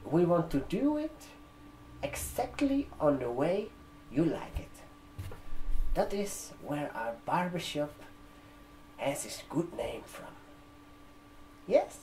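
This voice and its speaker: A man in his twenties with a non-native English accent, speaking gently and haltingly in an ASMR roleplay as a barber shop receptionist. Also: on the high side, very animated, a little hiss or hum, poor audio. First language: not English. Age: 20s. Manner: gentle, halting, drawn-out phrasing with check-in questions. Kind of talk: ASMR roleplay, soft customer-service talk. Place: indoor room, seated at a desk with a laptop. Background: computer keyboard typing.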